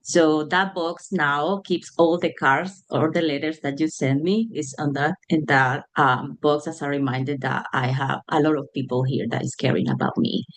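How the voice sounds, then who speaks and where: A woman who is an international student giving a grateful thank-you message, pausing with fillers as she goes. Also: deep voice. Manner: warm and grateful, halting with fillers.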